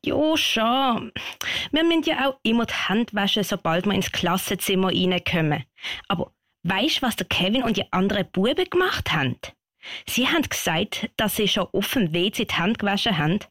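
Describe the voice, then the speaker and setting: A bored little girl voiced by a woman grumbles in a childlike, exasperated way. Her radio-play voice has a Swiss German accent and a middling pitch, recorded dry and close. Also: lively intonation, normal speed, fairly bright tone, no echo. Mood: bored, indignant. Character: bored, grumbling little schoolgirl, frank and sulky.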